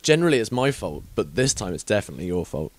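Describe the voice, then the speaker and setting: Man, english podcast host, frank and rambling, low voice, dry close sound. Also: very animated, quick pace, no room sound. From England. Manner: frank, awkward, rambling with many fillers and laughs. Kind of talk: casual rambling chat.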